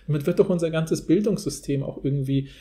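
A man's voice: fairly high pitch, quick pace, dark tone. A man hosting a podcast, talking in a casual, rambling and informal way. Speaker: podcast host. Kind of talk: casual discussion.

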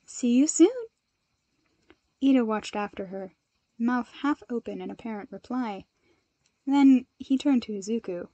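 A woman narrator with an expressive storytelling style. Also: on the high side, dry recording. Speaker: fan fiction narrator.

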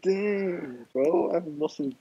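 A young man with a husky voice chatting casually with a stranger through lo-fi video call audio. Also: a little high, slow pace, dark tone.

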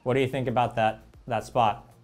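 A young man hosting an interview show over a video call, relaxed, with frequent "uh" fillers. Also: medium pitch, fairly quick, faint music behind. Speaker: show host.

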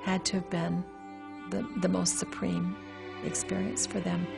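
A woman's voice: on the low side, completely flat delivery, unhurried, gravelly. An older woman giving interview commentary on history for a documentary. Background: music.

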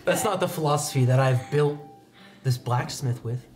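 A man voicing a stern female master blacksmith in a tabletop game, measured and reproachful. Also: medium pitch, music in the background, lightly acted.

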